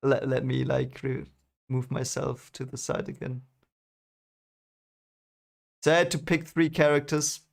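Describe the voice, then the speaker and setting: Male stream host, medium pitch, unhurried casual commentary, repeats himself and is easily amused. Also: fairly even intonation, creaky. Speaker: livestream host. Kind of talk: casual reaction commentary on own old video.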